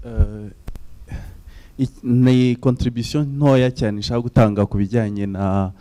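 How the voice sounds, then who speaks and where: A man's voice: medium pitch, fairly even intonation, unhurried, dark tone. A man with a Rwandan accent making a hesitant comment from the audience at a forum, with many fillers and restarts. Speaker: audience member asking. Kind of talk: forum comment mixing Kinyarwanda and English. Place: large conference hall.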